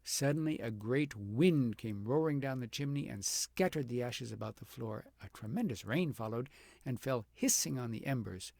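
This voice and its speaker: Male audiobook reader, husky and creaky, dramatic fairy-tale delivery, close dry sound. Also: mid-range voice, expressive, normal speed, a little mellow, dry recording. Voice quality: gravelly, slightly husky. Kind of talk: expressive storybook narration of a fairy tale.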